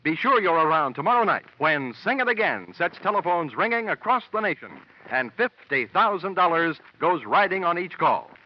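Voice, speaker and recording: A man's voice: a little high, very animated, normal speed. An American man announcing in an emphatic 1950s radio promotional style. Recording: muffled old radio broadcast.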